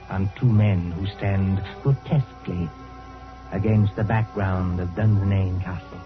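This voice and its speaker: An actor as a grave narrator, delivering suspenseful, dramatic vintage radio narration. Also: male voice, on the low side. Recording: tinny, band-limited old radio, loud music.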